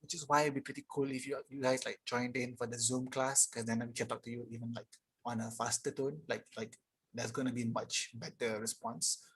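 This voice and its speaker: A young man teaching an English grammar lesson live online, explaining casually and reading sentences aloud slowly. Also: medium pitch, level tone, a bit bright, slightly rough, poor audio. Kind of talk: live online tutorial reading chat answers.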